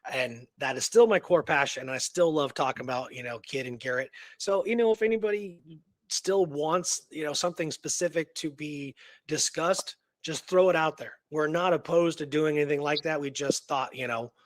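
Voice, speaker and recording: A man's voice: fairly high pitch, moderate pace, no echo. A man co-hosting a history show in casual, unscripted chat, rambling and conversational with emphatic repetition. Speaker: co-host of an Old West history show.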